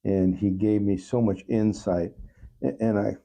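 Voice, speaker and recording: Male interview guest, low and husky, heavy creak, thoughtful and halting delivery. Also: steady tone, mellow. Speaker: podcast guest, funeral officiant. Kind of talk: reflective interview conversation.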